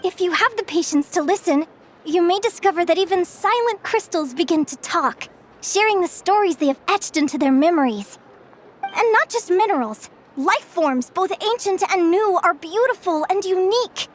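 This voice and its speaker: An actress playing a gentle, scholarly young woman in a game, telling stories in a measured, articulate and enthusiastic way. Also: very high-pitched, animated, a little hiss or hum. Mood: earnest wonder. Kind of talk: storytelling in an English game dub.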